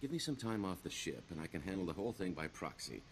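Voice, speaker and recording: Video game rogue: wry, scheming career criminal, middle-aged, theatrical and wheedling, low-pitched with very lively inflection. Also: male voice, fast talker, a little hiss or hum. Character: roguish male career criminal, wry, boastful, scheming veteran.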